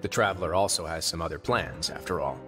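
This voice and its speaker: An actor playing a composed, authoritative young warden in a video game, speaking in a measured, self-assured way. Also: male voice, deep voice, natural voice. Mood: calm, casual. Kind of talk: video game character dialogue. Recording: faint music behind.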